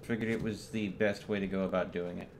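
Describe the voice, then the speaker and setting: A man's voice: sits low, fairly quick, gravelly. A man giving casual live commentary and chatting while he streams a game. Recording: noisy gaming setup with keyboard clatter. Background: keyboard typing, steady background noise.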